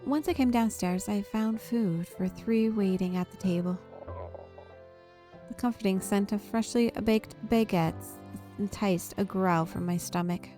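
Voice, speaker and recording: Mellow-voiced woman reading a story aloud. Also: mid-range voice, measured pace. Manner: narrative storytelling read-aloud. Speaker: gaming video host. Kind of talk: reading visual novel text aloud. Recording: game music behind the voice. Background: soft game music.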